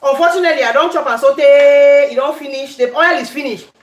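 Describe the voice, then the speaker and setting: A woman with a Cameroonian accent and a high voice talks in an echoey kitchen. She is hosting a casual cooking chat, speaking to viewers in an animated, conversational way and often repeating herself for emphasis. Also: brisk. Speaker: cooking vlogger. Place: indoor home kitchen. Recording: echoey room.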